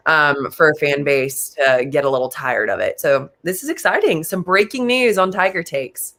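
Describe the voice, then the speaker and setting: Animated young man talking football. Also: a little high. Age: late 20s. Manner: animated, talks in quick bursts with many fillers. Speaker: sports show co-host. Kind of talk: casual sports talk.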